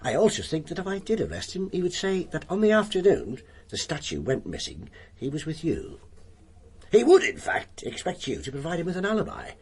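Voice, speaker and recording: An actor playing a gruff, wry police inspector in a radio drama, speaking in a deliberate, sardonic, commanding way. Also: male voice, a little high, wildly swooping pitch, darkish tone, exaggerated voice. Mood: stern, probing.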